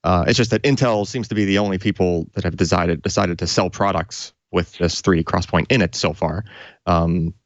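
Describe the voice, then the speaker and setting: A man with a fairly low voice talks through technical details in an informal, conversational way. The sound is very dry and slightly dull, like a co-host on a tech show. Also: steady tone, moderate pace, no echo. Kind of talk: casual technical explanation.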